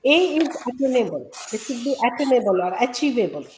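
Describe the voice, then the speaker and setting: A woman's voice: fairly high pitch, a little fast, a little hiss or hum. A woman from India, a Gujarati speaker, explains her ideas earnestly as an interview guest and health coach. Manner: earnest, explanatory, steady teaching tone. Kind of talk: conversational interview. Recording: echoey room sound.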